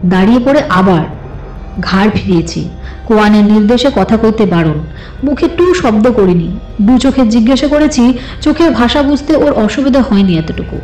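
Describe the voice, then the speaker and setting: A woman reading a suspense story as a dramatic, scripted literary narration in an expressive, deliberate way. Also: on the high side. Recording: slightly roomy, with music behind. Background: soft background music.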